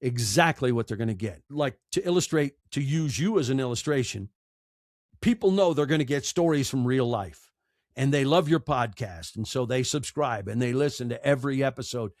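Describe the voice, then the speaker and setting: A man's voice: medium pitch, lively intonation, moderate pace, darkish tone, dry recording, clean studio sound. A man speaking as a podcast guest in a conversational, storytelling way, emphatic, with rhetorical questions and repeated phrases. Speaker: podcast guest, broadcaster and audiobook narrator.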